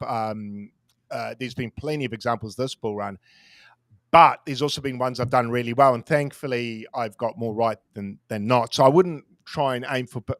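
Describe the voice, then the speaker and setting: A forty-year-old man in relaxed podcast conversation, candid and self-deprecating with casual swearing. His voice sits at a middle pitch and sounds close and dry, with little room around it. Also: no room sound. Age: 40s. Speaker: crypto trader guest.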